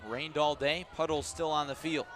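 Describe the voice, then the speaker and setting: A man doing live radio play-by-play of a baseball game, descriptive and unhurried between pitches and punchier on the action. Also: flat delivery, crowd noise, background noise. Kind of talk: live radio sports commentary.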